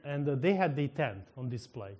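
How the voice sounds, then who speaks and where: A man from Ukraine with a Ukrainian accent, a minister telling a lively testimony in a sermon, acting out the dialogue and laughing often. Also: medium pitch, moderate pace, highs cut off.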